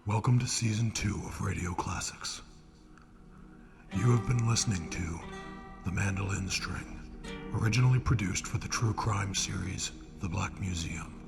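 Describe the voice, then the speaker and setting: A man hosting a radio drama program, reading scripted closing credits as an announcer in a hushed, breathy voice. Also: fairly low pitch, moderate pace, over music.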